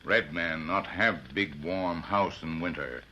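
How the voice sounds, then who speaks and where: An actor playing a stolid Native sidekick in an old radio western, speaking clipped broken English on a noisy old recording. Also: male voice, low-pitched, slow pace, pushed and bright, highs cut off, heavily acted. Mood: grave, knowing.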